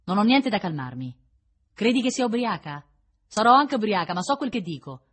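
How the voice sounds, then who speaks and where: A woman's voice: mid-range voice, big pitch swings, brisk, sharp tone, husky, pitched above the performer's own voice, exaggerated voice. A woman voicing a bitter, drunk woman prisoner in a storybook reading, scornful and confrontational. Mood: drunken, mocking anger.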